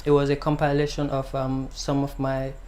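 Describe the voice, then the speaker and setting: Soft-spoken young Gambian man, flat voice. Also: mid-range voice, moderate pace. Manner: soft-spoken, hesitant with many ums. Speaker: guest singer-songwriter. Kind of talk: casual interview answers.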